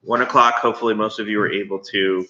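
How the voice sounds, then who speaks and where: American guy teacher, low voice but bright, talks to his class kinda haltingly, echoey room w/ slight hiss. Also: steady tone. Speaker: elementary school teacher. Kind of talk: informal read-aloud lesson for pupils. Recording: roomy, dull, faintly noisy home recording.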